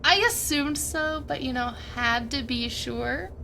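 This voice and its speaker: High-voiced woman playing a tabletop game, unhurried. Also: sharp tone. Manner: tentative, thinking aloud. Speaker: player in a tabletop roleplaying game. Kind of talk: casual game chat, describing character actions. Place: online livestream video call. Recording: clearly noisy background.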